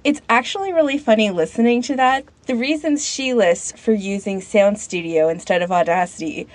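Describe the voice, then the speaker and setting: A woman's voice: mid-range voice, normal speed, no room sound. A woman co-hosting a podcast in a casual, conversational way. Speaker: podcast co-host. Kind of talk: casual discussion.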